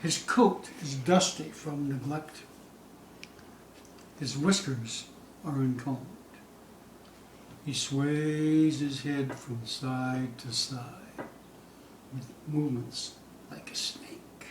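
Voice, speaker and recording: A man giving a theatrical poetry recital, dramatic and suspenseful, with drawn-out phrases moving between hushed dips and loud peaks. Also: medium pitch. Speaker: poetry reader. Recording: distant, echoey room with faint hiss.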